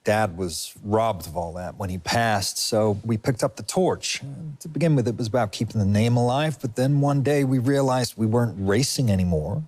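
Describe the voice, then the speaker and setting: An actor playing a proud, needling middle-aged racing team boss with a husky voice and a folksy, wry manner. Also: male voice, on the low side, moderate pace, few pauses, darkish tone, dry recording, lightly acted. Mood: proud, nostalgic.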